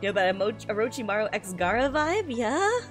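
Animated high-voiced woman streamer reading aloud. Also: normal speed. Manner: expressive, giggly, switches into character voices. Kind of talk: live reaction read-aloud with excited asides. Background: music behind the voice.

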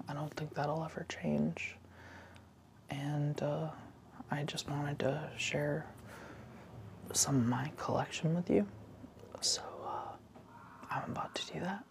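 A man in his thirties doing ASMR, hesitant, moving between a whisper and a soft voice in a small room indoors. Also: fairly high pitch, steady tone, takes it slowly, gravelly, long pauses, slight background noise. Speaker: ASMR video host.

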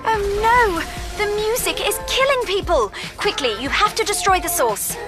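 A fretful but polite woman guiding agents in a video game. Her voice is high and lively in pitch, earnest in manner, with music behind it. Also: normal speed. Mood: alarmed. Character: earnest, fretful female agency handler and technician.

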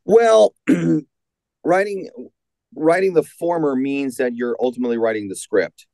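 A man answering as an author in an interview, conversational and halting, repeating words as he goes. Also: a little high, gravelly, warm tone, no echo.

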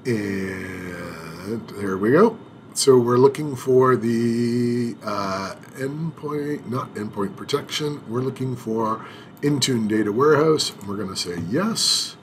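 Slow, hesitant man narrating a screen tutorial. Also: medium pitch, animated, slight background noise. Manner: unhurried, hesitant, many filler sounds and restarts. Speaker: IT administrator demonstrating software setup. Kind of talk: screen-recorded tutorial walkthrough.

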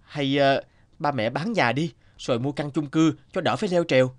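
A man's voice: fairly high pitch, moderate pace, no echo, close to an everyday voice. Man voicing a whining, stubborn young man. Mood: pleading. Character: impatient young man, aspiring photographer, pleading and stubborn. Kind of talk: cartoon dubbing.

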